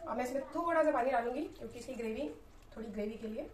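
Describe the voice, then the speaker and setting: Woman in her thirties, chatty cooking vlogger, higher voice, roomy off-mic kitchen sound. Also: rapid-fire, distant mic, a little hiss or hum. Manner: chatty, explains each step while cooking. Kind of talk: casual cooking walkthrough. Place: small home kitchen.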